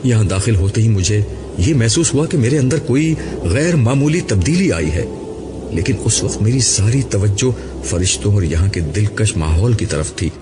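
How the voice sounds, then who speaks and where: A man reading a novel aloud as scripted audiobook narration. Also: lowish voice. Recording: clearly noisy recording.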